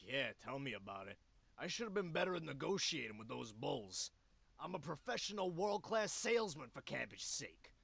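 A man voicing a scheming, foul-mouthed elderly rabbit ex-salesman with a gravelly voice in a cartoon video game. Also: medium pitch, big pitch swings, normal speed, a bit bright, dry recording, full character voice. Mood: boastful.

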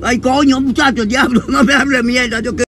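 A man's voice: very high voice, fairly even intonation, a little fast, a bit bright. An older man with a Dominican accent, chatting in a car in a boisterous, cursing, laughing way. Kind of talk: casual chat. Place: inside a car. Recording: noisy car ride.

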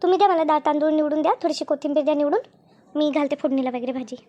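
Woman playing an anxious young daughter-in-law, very high-pitched. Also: steady tone, very fast, warm tone, dull audio, lightly acted. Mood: deferential, anxious. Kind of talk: cartoon family drama dialogue.

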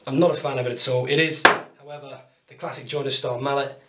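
A British man in his thirties talks through his tools in a chatty, upbeat way, with wry asides about his cheap kit. His voice sits at a middling pitch. The workshop is roomy and echoes around him, and he stands some way from the camera mic. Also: steady tone, fast talker, distant mic, background noise. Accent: British English. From United Kingdom. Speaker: woodworking YouTuber. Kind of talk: casual tool walkthrough to camera. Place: small home workshop, indoors. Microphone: camera mic at a distance.

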